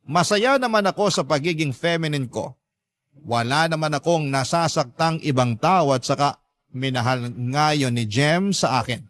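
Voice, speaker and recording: A man's voice: a little high, animated, moderate pace, fairly bright tone, no echo, studio quality. A man reading a scripted first-person story as a dramatic narrator.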